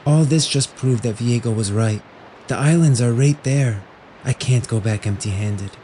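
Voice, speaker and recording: A man's voice: medium pitch, moderate pace, dark tone, slight background noise, close to an everyday voice. A man voicing a determined, loyal warrior princess in an audio drama. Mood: resolute.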